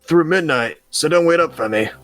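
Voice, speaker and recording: Man voicing a kindly hoarse old dockworker. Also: fairly high pitch, lively intonation, fairly quick, a bit bright. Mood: matter-of-fact. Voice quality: hoarse, groggy. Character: kindly, springy old male furry dockworker. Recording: music behind the voice.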